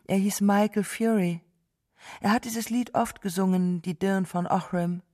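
A woman with a soft, mellow voice speaks in a literary radio drama, restrained and wistful. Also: medium pitch, steady tone, a little slow.

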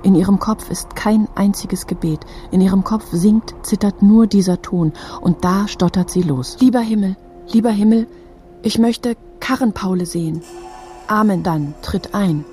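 A woman narrating a literary audio drama expressively, often repeating phrases and turning breathy at moments. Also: medium pitch, normal speed, dark tone, faint music behind.

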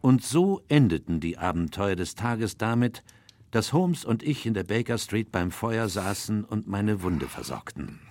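An actor playing a measured, reflective gentleman who narrates a radio play in the first person. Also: on the low side, very animated, soft background music. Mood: wry.

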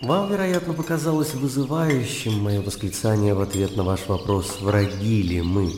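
An actor playing a fervent, high-strung young idealist gentleman in a radio play, arguing in formal, rhetorical language. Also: normal speed, echoey room, big-room sound, slight background noise, close to an everyday voice. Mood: earnest, polite.

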